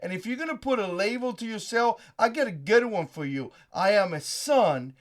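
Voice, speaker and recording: Cuban accent guy ranting on his vlog, fairly high voice, punchy and emphatic, keeps firing off questions. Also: normal speed. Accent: Cuban Spanish. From Cuba. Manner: animated and emphatic, rhetorical questions. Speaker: channel host. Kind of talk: opinion vlog monologue.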